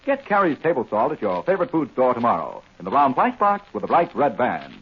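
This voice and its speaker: A man with an American accent announcing in emphatic 1940s radio style, selling like a pitchman. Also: huge pitch swings, a little fast, breathy edge. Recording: dull 1940s radio recording.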